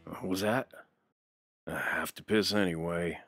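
A man's voice: low-pitched, steady tone, unhurried, over loud music, voice pushed down. A man voicing a bitter, laconic ex-military sniper, terse and grumbling, in a motion comic. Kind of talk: motion comic character acting.

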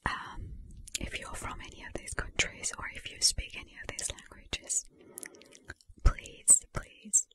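Woman, ASMR whisper, unvoiced, slow pace, deliberate enunciation, repeated phrases. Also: extremely high pitch, wildly swooping pitch, a bit bright. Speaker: ASMR video creator.

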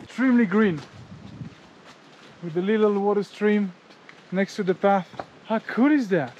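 A man's voice: sits high, measured pace, darkish tone, a little hiss or hum, low-quality recording. A man in his thirties hosting a casual travel vlog, chatting with locals and the camera outdoors and in a small restaurant. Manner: friendly, chatty, many fillers and "guys" to camera. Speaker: motovlogger host. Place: outdoors in a mountain village and a small roadside restaurant.

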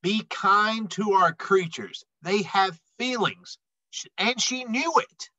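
A middle-aged man reading a children's picture book aloud expressively, with emphatic, dramatic phrasing and drawn-out words. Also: high-pitched, bright, forceful tone, no echo, muffled recording. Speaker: read-aloud storyteller.

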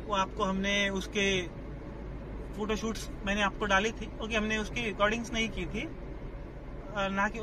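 Young guy vlogging from his car, fairly high voice, lots of road noise, uh-filled chat. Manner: chatty, mixes English phrases into his talk, hesitant fillers. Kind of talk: casual travel vlog chat. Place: inside a moving car, then outdoors at a fort. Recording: noisy road and car background.

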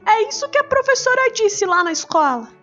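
Lady doing a little kid boy, super high voice, curious n earnest, bedtime story w music. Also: very animated, a little fast, bright, forceful tone, full character voice. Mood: earnest, explaining. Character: young schoolboy son, curious and earnest. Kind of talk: children's bedtime story dialogue.

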